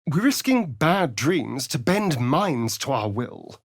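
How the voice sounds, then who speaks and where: An actor playing a sardonic, vain vampire spawn rogue in a video game, with a theatrical, drawling British delivery. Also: male voice, medium pitch, very animated, slow pace, breathy edge, dry recording, studio quality, exaggerated voice. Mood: dramatic narrating. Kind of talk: video game character voice lines.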